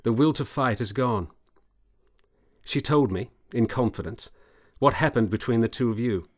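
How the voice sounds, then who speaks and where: An actor playing an earnest, persuasive military doctor in a period radio drama, formal and deliberate. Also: male voice, mid-range voice, lightly acted. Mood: grave, confiding. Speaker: supporting actor.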